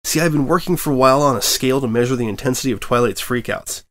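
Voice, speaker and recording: A man voicing a cheeky young male baby dragon sidekick who teases and gets excited. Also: medium pitch, quick pace, voice pushed up, close to an everyday voice. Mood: gleeful. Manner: excitable, teasing.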